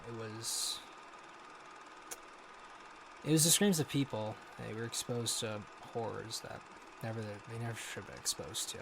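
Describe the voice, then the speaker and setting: An actor playing a haunted American war veteran, recounting grim horrors haltingly in an audio drama log. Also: male voice, fairly low pitch, creaky, background noise. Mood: unsettled, grave. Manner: halting, sighing, hesitant delivery.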